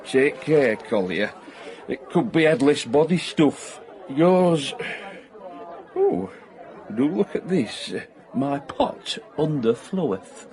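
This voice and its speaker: An actor playing a boozy, seen-it-all old newspaper hack, sardonic and matey, in a radio comedy-drama. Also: male voice, fairly high pitch, sing-song, takes it slowly, darkish tone. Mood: mock ominous. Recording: pub crowd murmur behind.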